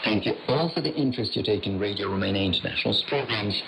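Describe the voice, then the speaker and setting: A man hosting a radio mailbag, reading scripted listener reports in a formal, measured announcer style, heard over shortwave radio. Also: fairly low pitch, gravelly. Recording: noisy shortwave radio reception. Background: radio static and hiss.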